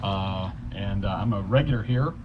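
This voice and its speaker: A man's voice: lowish voice, normal speed. A man giving an informal introduction at a live reading. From United States. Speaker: poetry reader. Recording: dull live recording, clear background noise.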